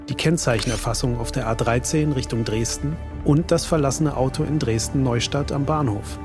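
A man reading dramatic scripted narration for a true crime documentary. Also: medium pitch. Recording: loud suspense music underneath.

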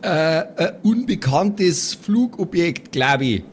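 Man doing a high-voiced earnest schoolboy. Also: animated, slow. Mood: earnest, hesitant. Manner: hesitant, repetitive. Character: well-behaved schoolboy, earnest and naive. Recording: faint background noise.

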